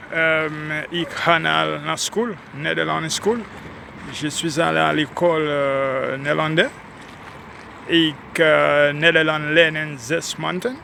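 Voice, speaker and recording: A man from Haiti with a Haitian French accent, talking casually to viewers while walking outdoors on a city street and addressing them as ladies and gentlemen. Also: a little high, slight background noise. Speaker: vlogger. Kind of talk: casual walking vlog monologue.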